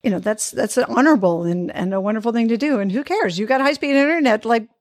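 A woman's voice: fairly high pitch, fast talker, no echo. Female interview host, born in the United States; enthusiastic, affirming, long-winded questions, dry close recording. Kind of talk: casual interview conversation.

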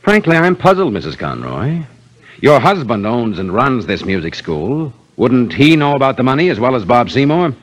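A dry, probing radio police inspector. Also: male voice, mid-range voice, very animated, poor audio. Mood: skeptical, pressing. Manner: measured, questioning, dryly skeptical. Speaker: actor in a radio detective drama. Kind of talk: 1950s radio mystery acting. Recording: old 1950s radio recording, faint music.

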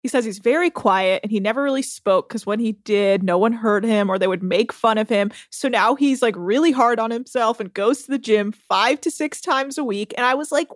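Woman podcast co-host, fairly high voice, eager recap style, dry close recording. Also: moderate pace, hardly any pauses, dry recording. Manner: recaps with enthusiasm, laughs often. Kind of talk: casual chat.

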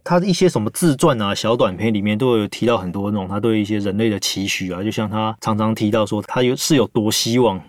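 A man with a Taiwanese Mandarin accent hosts a casual talk. His voice sits on the low side and moves quickly. The sound is dry and close. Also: no room sound. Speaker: host. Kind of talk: casual book discussion.

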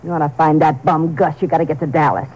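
A woman's voice: low-pitched, fairly even intonation, fast talker, darkish tone, slightly rough, muffled recording. An actress playing a sullen, defiant female crook in a 1950s radio drama, talking brash street slang. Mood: bitter. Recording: vintage radio broadcast.

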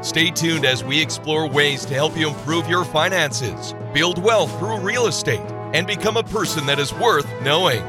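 A male announcer reads a scripted show intro in a polished, smooth delivery. His voice sits a little high for a man, and clear music plays behind him. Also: moderate pace, hardly any pauses.

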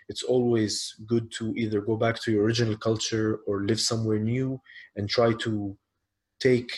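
Measured, diplomatic male host. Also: fairly low pitch, a little mellow. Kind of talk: casual conversational interview.

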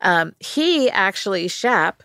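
A woman's voice: mid-range voice, big pitch swings, slow pace, sharp tone, clean tone, no echo, studio quality. A woman hosting a podcast, telling a story in casual chat with playful asides. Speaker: podcast host.

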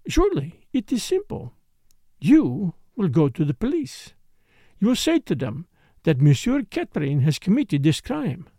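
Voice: mid-range voice, huge pitch swings, a little slow, warm tone, dry recording, full character voice. A reader voicing a passionate, scheming foreign female dancer in an audiobook story, emphatic, dramatic and accusing. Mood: businesslike, coaxing.

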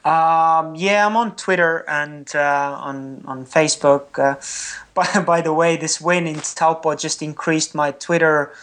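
A young man with an Estonian accent, a non-native English speaker, giving candid, good-humoured interview answers as a triathlete and pausing to search for words. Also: a little high, fairly bright tone. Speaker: triathlete guest.

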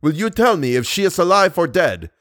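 A man playing a stern man who forcefully accuses and questions a murderer, his voice raised above the narration. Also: a little high, very animated, fairly quick, dry recording, clean studio sound, voice pushed up. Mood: accusing.

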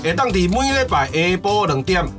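A man's voice: sits high, big pitch swings, a little fast, over music. A man with a Taiwanese accent chatting casually as a podcast host.

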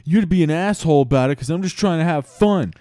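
A man's voice: medium pitch, big pitch swings, quick pace, darkish tone, no echo, pitched above the performer's own voice, close to an everyday voice. A man voicing an obnoxious, cocky slacker buddy in improvised comedy, whiny, swearing and self-justifying. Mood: indignant. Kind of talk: improvised comic storytelling voice.

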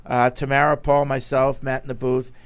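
A man's voice: mid-range voice, flat delivery, normal speed, clean tone. A man from New Jersey hosting a casual sports radio show, reading listener emails and bantering with his co-host. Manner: reads listener emails aloud, playful back-and-forth.

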